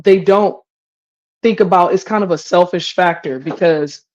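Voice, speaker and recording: A woman's voice: fairly low pitch, unhurried, a little mellow, dull audio. A woman in her forties giving opinions in a candid, casual talk show conversation. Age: 40s. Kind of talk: candid casual open-forum chat.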